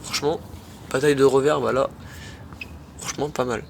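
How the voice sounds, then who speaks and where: A young man with a head cold, casually commenting on his own tennis match in slangy, excitable talk to his viewers. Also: medium pitch, moderate pace, creaky, a little hiss or hum. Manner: slangy, excitable, talks to viewers as "les mecs".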